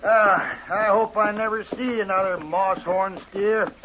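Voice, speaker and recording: High-voiced rowdy drinking cowboy. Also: male voice, fairly even intonation, a little slow, bright, forceful tone. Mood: thirsty, weary. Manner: folksy, rowdy. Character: hard-drinking, trail-weary male Texas cowhand, a troublemaking egger-on. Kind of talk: 1950s radio western acting. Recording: muffled, very noisy 1950s radio recording.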